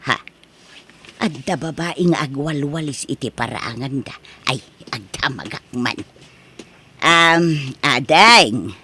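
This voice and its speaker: A woman's voice: low voice, fairly bright tone, slight background noise, heavily acted. A woman with an Ilocano accent from the Philippines playing a scheming, outspoken middle-aged wife in a radio-style comedy drama, brash and argumentative. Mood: polite, eager.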